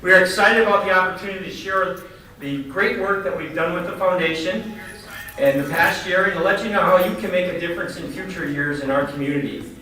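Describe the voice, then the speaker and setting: A man's voice: high-pitched, brisk, pushed and bright. A man speaking as the host of a community event, giving a formal welcome speech that is partly read from notes. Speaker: event host, foundation chair. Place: large echoing indoor banquet venue. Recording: very echoey hall, distant mic, background noise.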